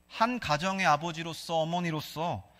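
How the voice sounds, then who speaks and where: A man with a Gyeongsang accent preaches from the pulpit, his high voice bright and forceful. He puts questions to the congregation and leads them in reading scripture together. His words ring out through a church hall with a long, lingering reverb. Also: moderate pace. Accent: Gyeongsang Korean. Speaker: pastor. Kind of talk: sermon. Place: church sanctuary.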